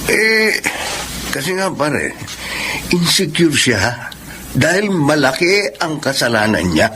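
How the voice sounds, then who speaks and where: A man's voice: a little high, sing-song, slow pace, background noise. A man with a Filipino accent playing a candid, chummy, opinionated friend in a radio drama. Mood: frank. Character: candid, teasing male buddy.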